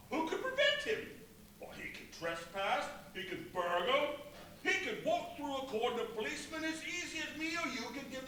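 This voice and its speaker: A man playing a chatty, gullible working-class mariner, animated and rambling in a live radio play. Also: very high-pitched, full character voice. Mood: uneasy, excited. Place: live stage performance in a brewery venue. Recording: echoey, noisy live venue, far from mic.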